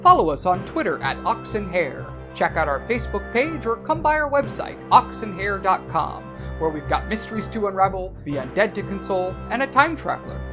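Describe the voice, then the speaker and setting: A woman announces the closing credits of an audio drama from a script. Her mid-pitched voice moves up and down in a lively way. Music is clearly heard behind her. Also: fairly quick, few pauses.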